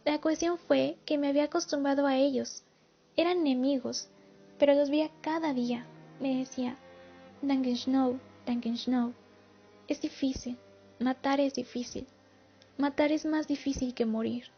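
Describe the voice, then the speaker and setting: A woman's voice: sits high, steady tone, unhurried, creaky. A woman playing a haunted older war veteran giving confessional, pleading testimony in a radio play. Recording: muffled lo-fi recording with music.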